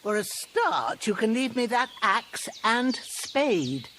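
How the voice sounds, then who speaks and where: Practical middle-aged village healer, game cutscene; down-to-earth, lively mid voice. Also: female voice, on the high side, slow pace, a little hiss or hum, exaggerated voice. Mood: matter-of-fact. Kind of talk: video game cutscene acting.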